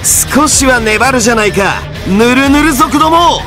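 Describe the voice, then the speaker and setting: A man's voice: extremely high pitch, expressive, unhurried. A man playing a cocky, boastful, hot-blooded hero in an anime video game. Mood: cocky defiance. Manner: brash, shouting, boastful. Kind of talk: Japanese anime game acting. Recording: music behind the voice.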